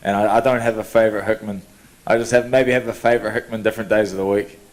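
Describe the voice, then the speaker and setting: A young man, answering reporters' questions in an easygoing, relaxed way at a media day. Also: fairly low pitch, fast. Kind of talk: press scrum answers.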